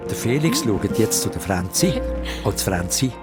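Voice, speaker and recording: A man's voice: fairly low pitch, huge pitch swings, normal speed, loud music behind the voice. A man with a Swiss German accent narrating a children's radio play as dramatised scripted storytelling.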